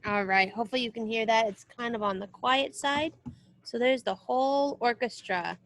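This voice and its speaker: A woman reading a children's music book aloud as a teacher, expressive and explanatory. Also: on the high side, moderate pace, dry recording. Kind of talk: read-aloud lesson for children.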